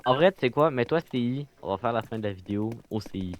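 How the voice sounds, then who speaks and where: A man's voice: medium pitch, animated, quick pace. A man with a Quebec French accent chatting casually while gaming, mixing English gamer slang into his talk over a noisy mic. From Canada. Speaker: gaming video host and player. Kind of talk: casual gameplay chat with a friend.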